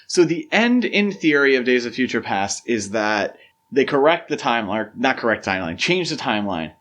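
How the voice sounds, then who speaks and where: A man's voice: mid-range voice, big pitch swings, moderate pace, a bit bright. A man co-hosting a podcast, discussing films in a casual, conversational and opinionated way. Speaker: podcast co-host.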